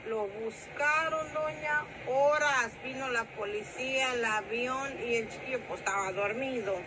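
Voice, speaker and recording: Chatty woman with a high voice, outdoors. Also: measured pace, noisy background. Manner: chatty storyteller, says "doña" often. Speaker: neighbor. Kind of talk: casual chat. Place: sunny residential sidewalk outdoors.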